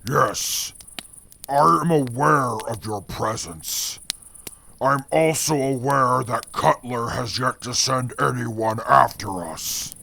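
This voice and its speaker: A man's voice: mid-range voice, slow, vocal fry, bright, forceful tone, slight background noise, exaggerated voice. An actor playing a stiff, bookish man who talks in a formal, haughty way in a fan audio drama. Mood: disdainful. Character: Atlas, stiff bookish man, cold and aloof.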